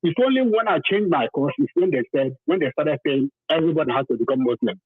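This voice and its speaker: A man's voice: fairly high pitch, lively intonation, fast talker, husky. A man from southern Nigeria with a Nigerian accent, calling in to a talk programme and speaking with passion and emphasis, repeating phrases to drive his points home. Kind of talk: impassioned phone-in testimony. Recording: thin phone-line sound, roomy.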